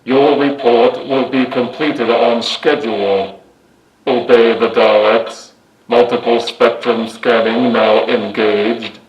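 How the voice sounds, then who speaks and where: A grating, mechanical alien war machine, as a man puts it on through a toy voice changer filter, issuing slow, flat, clipped commands. Every word is dragged out heavily in a roomy, echoing space. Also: medium pitch, dull audio. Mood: commanding.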